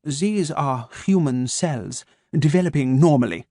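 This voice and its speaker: A man voicing a cold, calculating middle-aged male scientist with a crisp, clipped German accent, speaking slowly and formally. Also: fairly high pitch, animated, dark tone, no echo, clean studio sound. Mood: clinical. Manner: slow, measured, coldly formal.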